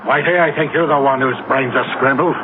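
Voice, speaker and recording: A man's voice: mid-range voice, brisk, narrow, tinny audio, natural voice. Guy doing a proper first mate in a 70s radio drama, skeptical officer type, thin noisy old recording. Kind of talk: 1970s radio drama acting.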